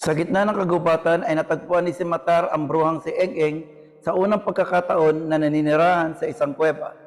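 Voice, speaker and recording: A man's voice: fairly high pitch, flat delivery, brisk, big-room sound. A man with a Filipino accent giving scripted educational narration as the host.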